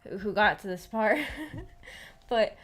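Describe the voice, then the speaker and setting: A woman's voice: medium pitch, a little slow. A woman hosting a casual livestream book club, chatting informally with viewers in a giggly, rambling way with false starts. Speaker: livestream host of a visual novel book club. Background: background music.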